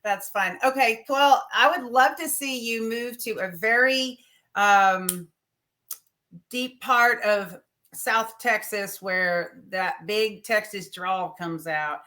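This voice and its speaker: A woman's voice: mid-range voice, slow pace, pushed and bright. A woman hosting an interview, asking curious, upbeat follow-up questions. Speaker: interview host. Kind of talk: casual interview chat.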